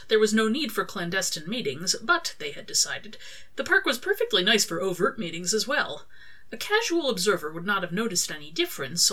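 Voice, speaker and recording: A woman's voice: mid-range voice, sharp tone. A woman narrating her own scripted fiction as an audiobook-style reading. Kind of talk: scripted story narration with acted dialogue.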